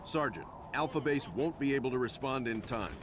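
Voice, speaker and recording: An actor playing a stern, composed older starship captain in a video game, giving clipped, measured orders with authority. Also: male voice, mid-range voice, lively intonation, crowd noise, close to an everyday voice. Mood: commanding. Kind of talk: video game cutscene acting.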